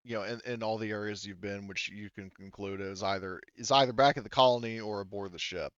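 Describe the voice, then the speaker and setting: Male game master, lowish clear voice, casual rambling narration, informal, dry slightly muffled recording. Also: fairly even intonation, brisk, no echo. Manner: informal, rambling, often swearing.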